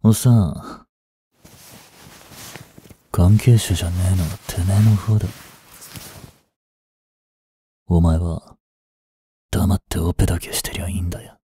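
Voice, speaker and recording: An actor playing a rough, cocky mafia boss with hidden tenderness in an intimate audio drama, gruff and teasing in a drawl. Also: male voice, low voice, steady tone, moderate pace, pauses often, mellow, dry recording, clean studio sound. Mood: menacing. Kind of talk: intimate Japanese-style dramatic voice acting aimed at women listeners. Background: music.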